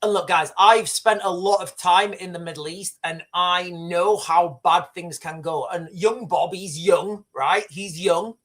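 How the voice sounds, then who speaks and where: A man's voice: high-pitched, steady tone, measured pace, hardly any pauses, a bit bright. A middle-aged man hosting a livestream, rambling through casual commentary and stories with frequent restarts and swearing. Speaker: livestream host. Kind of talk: casual live commentary and storytelling.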